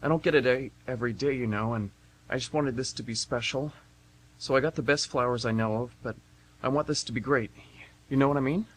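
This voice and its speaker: A man's voice: mid-range voice, brisk, close to an everyday voice, low-quality recording. A man playing a nervous, awkward, earnest suitor in radio theatre, stammering and rambling apologetically. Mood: nervous, eager. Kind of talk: amateur radio theatre acting.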